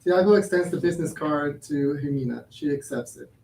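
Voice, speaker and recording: High-voiced male narrator, echoey and distant. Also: brisk, gravelly, dark tone. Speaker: stage directions reader. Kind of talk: scripted narration. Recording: echoey room, distant, background noise.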